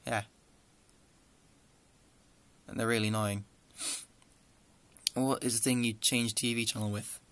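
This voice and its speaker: A man's voice: on the low side, flat delivery, a little slow, pauses often, a bit bright, dry recording. A young man with a northern English accent, chatting casually through a list of questions into a close webcam in a small room, rambling with dry asides. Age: young adult. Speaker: vlogger.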